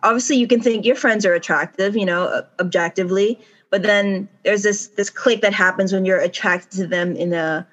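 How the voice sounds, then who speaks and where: This is a woman co-hosting a casual podcast who rambles, corrects herself mid-sentence and laughs easily. Her pitch sits in the ordinary middle range for a woman. The recording sounds a little dull and lo-fi. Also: moderate pace, hardly any pauses, a bit bright. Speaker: podcast co-host. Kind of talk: casual chat.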